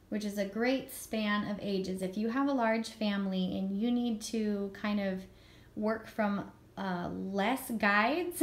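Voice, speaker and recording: A woman in her thirties with a slightly hoarse voice from a cold, chatting enthusiastically at home while showing homeschool books to a camera. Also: medium pitch. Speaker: homeschool curriculum reviewer. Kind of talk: casual show-and-tell review. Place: home room with a world map.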